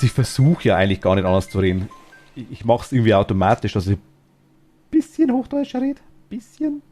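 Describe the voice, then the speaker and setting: Lockerer typ mit bayrischem dialekt, quatscht begeistert beim zocken, lacht viel, tiefere stimme. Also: male voice, fairly low pitch, darkish tone. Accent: Bavarian. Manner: chatty, easily laughing, enthusiastic. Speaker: streamer. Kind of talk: casual chat while streaming a game.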